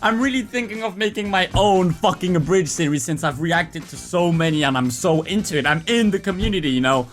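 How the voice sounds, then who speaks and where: A man reacting casually and spontaneously as a YouTube host, excitable, informal and prone to swearing. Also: sits high, a little fast, few pauses, soft background music.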